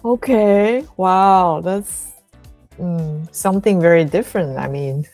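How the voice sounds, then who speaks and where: A woman co-hosting a casual chat, asking measured questions and listening attentively. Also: lowish voice, darkish tone, no room sound, soft background music. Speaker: English teacher, co-host.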